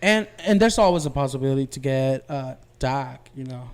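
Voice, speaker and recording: Casting: male podcast co-host, smooth clear voice, casual slangy storyteller who rambles. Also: mid-range voice, lively intonation, moderate pace. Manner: rambling, slangy, frequent "like" and "you know".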